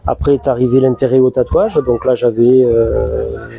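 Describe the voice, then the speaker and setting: Halting southern French man. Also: medium pitch, level tone, creaky, dull audio, over music. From southern France. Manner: halting, many restarts and fillers. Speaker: tattoo artist guest. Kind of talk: casual interview. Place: tattoo convention hall.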